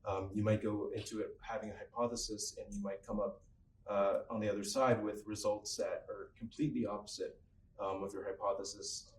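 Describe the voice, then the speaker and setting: Flat-voiced young man, measured and hesitant. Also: on the low side. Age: young adult. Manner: measured, hesitant with many ums. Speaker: university student, lab researcher. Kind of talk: candid panel discussion. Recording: roomy, distant mic, faint noise.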